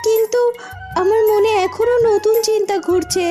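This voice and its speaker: A woman's voice: extremely high pitch, steady tone, moderate pace, dark tone, faint music behind. A woman voicing a kind, earnest young princess who plans to teach weaving.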